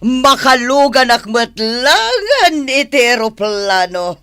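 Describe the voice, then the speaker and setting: A showy, gleeful sweetheart in a comic radio drama. The speech drawls extremely slowly, gushing with wide swings of pitch. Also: very animated, a bit bright, clean tone, no room sound, studio quality, full character voice. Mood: gleeful, excited. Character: gleeful, showy sweetheart dreaming of going abroad.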